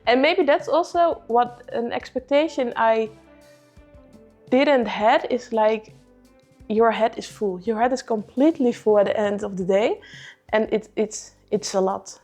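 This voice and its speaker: A woman with a fairly high voice explains earnestly and emphatically. Soft music plays behind her. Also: unhurried.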